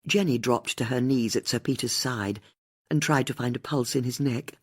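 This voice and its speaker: A woman with a husky voice, reading a mystery audiobook as scripted narration. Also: extremely low pitch, normal speed.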